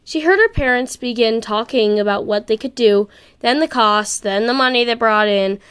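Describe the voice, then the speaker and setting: Woman, high voice, amateur story narration, expressive, occasional stumbles over words. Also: moderate pace, clear, resonant tone.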